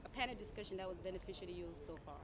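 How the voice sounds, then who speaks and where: A woman interviewing conference attendees, asking short set questions with fillers. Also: medium pitch. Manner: brisk, repeats set questions. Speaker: event interviewer. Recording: noisy background.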